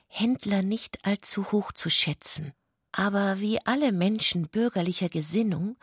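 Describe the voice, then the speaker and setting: A woman's voice: medium pitch, darkish tone, breathy edge, dry recording, clean studio sound. A woman reading literary prose as the narrator of a radio drama, in a scripted literary style.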